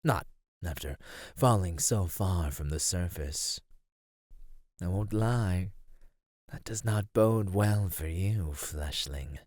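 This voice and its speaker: Slow, deep, husky monster. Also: male voice, expressive, darkish tone, dry recording, clean studio sound, exaggerated voice. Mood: brooding. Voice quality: husky, breathy, low. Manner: slow, formal, archaic, teasing menace. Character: ancient menacing male cave monster, predatory, teasing, courtly. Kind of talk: ASMR roleplay monologue.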